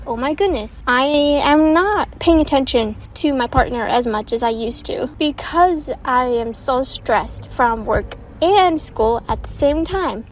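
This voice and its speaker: A woman's voice: high voice, a little mellow, a little hiss or hum. A young woman hosting her own channel, chatting earnestly and directly to viewers as she gives relationship advice. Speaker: channel host. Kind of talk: casual advice monologue.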